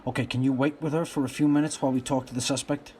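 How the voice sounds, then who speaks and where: A man playing a steady police detective in a radio play, firm and questioning. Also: mid-range voice, fast, a little hiss or hum, close to an everyday voice. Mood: brisk.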